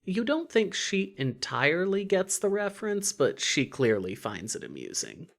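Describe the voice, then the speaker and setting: A narrator reading scripted fiction in an expressive, wry storytelling manner. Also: mid-range voice, moderate pace, vocal fry.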